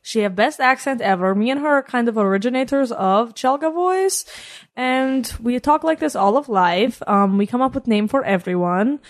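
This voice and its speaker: A woman's voice: on the high side, normal speed, lightly acted. A teenage girl voicing a brash Czechoslovakian woman alter ego, speaking broken English in a put-on Eastern European accent. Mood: playful. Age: teens. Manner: broken English without articles, theatrical. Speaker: guest.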